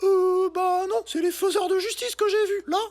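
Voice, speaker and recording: A woman's voice: very high-pitched, fairly even intonation, normal speed, a little mellow. A woman voicing a literal-minded, unimpressed little schoolgirl in a cartoon, hesitant and matter-of-fact. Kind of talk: animated cartoon dub.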